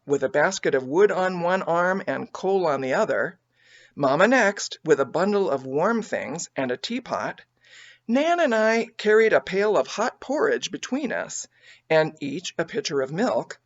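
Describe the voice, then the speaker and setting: A woman reading a children's story aloud as an expressive audiobook narrator. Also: lowish voice, measured pace, dull audio. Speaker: audiobook reader.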